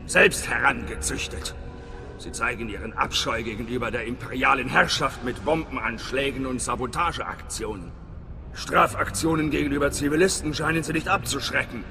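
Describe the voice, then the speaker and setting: A man's voice: mid-range voice, moderate pace, vocal fry, lightly acted. Guy acting hearty wry old-hand spy chief, game cutscene, music behind, big reverb. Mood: conspiratorial, serious. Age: middle-aged.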